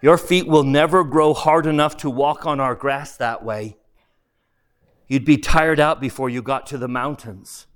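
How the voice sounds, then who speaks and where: A man voicing a serene, gently amused heavenly spirit in a storybook, speaking with calm candour. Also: medium pitch, a little slow, close to an everyday voice. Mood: amused, gentle. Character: serene, mirthful heavenly spirit of a forgiven male murderer. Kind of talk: dramatised audiobook character voice.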